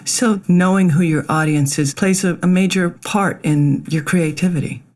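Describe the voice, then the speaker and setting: Soft-spoken interviewer, middle pitch. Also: steady tone, moderate pace. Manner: brief, quiet questions and soft acknowledgements. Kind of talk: interview questions.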